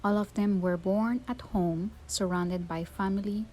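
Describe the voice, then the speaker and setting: Earnest Puerto Rican woman, mellow voice. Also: mid-range voice, fairly even intonation, unhurried, poor audio. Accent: Puerto Rican Spanish. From Puerto Rico. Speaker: speaker. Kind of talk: personal story talk.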